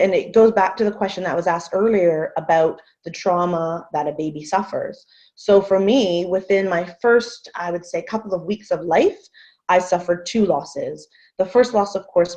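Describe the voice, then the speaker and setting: A woman in her thirties from Canada giving an earnest, measured webinar talk as a guest speaker over a video call. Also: on the low side, warm tone. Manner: earnest, measured, repeats phrases for emphasis. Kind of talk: webinar presentation. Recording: muffled video call audio.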